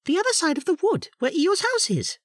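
A tiny storybook piglet, squeaking very high with swooping intonation. He is nervous, timid and eager to please, and the sound is close and dry. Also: wildly swooping pitch, a little fast, dry recording, heavily acted. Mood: earnest. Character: small, nervous, squeaky young male piglet.

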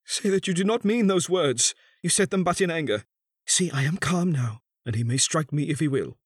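A male narrator acts an earnest young man of the sea, pitched up and lively. He pleads with impassioned, protective feeling, in audiobook melodrama style. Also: moderate pace, husky, dry recording, studio quality. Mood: grieving, pleading. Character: athletic young seafaring son, earnest and protective. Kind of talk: dramatic audiobook character reading.

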